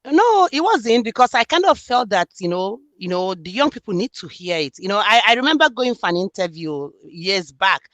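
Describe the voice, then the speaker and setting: A woman's voice: mid-range voice, sing-song, normal speed, few pauses, a bit bright, dry recording. A woman from Nigeria with a Nigerian accent, speaking earnestly and reflectively in an interview about her book. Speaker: author and student. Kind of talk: interview conversation.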